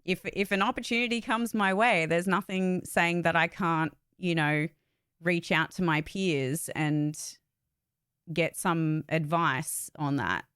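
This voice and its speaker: A woman's voice: on the low side, fairly even intonation, slow, fairly bright tone, clean tone, dry recording, studio quality. A woman chatting casually and candidly as a host, blunt and emphatic, swearing freely. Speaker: voice actor and channel host. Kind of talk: casual livestream chat, candid opinions.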